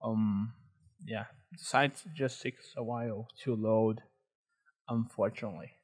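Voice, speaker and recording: A young man whose first language is Portuguese, with a Brazilian accent, walking viewers through a website in a relaxed, hesitant way with many fillers and restarts. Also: fairly low pitch, measured pace. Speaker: tutorial host. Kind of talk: screen-recorded walkthrough.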